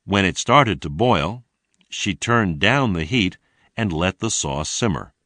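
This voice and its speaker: A man narrates an English lesson in a very low voice. He reads slowly and deliberately, enunciating each sentence for learners. His intonation moves up and down in a lively way. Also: no echo. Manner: deliberate, clearly enunciated teaching delivery. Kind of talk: scripted read-along narration.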